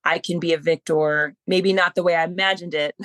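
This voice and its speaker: A woman's voice: on the low side, steady tone, moderate pace, a bit bright, no room sound. A woman hosting an interview podcast, asking winding, loosely phrased questions in a conversational way. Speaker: podcast host. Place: remote online call.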